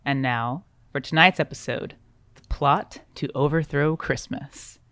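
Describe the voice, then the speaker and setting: A man's voice: fairly high pitch, a little slow. A man narrating a rhymed comic radio play in a mock-grand storytelling manner.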